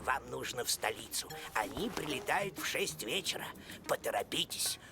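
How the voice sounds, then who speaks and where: A man voicing a chatty, helpful small-town newspaper seller in an animated film, folksy and expressive. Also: medium pitch, normal speed, vocal fry, noisy background.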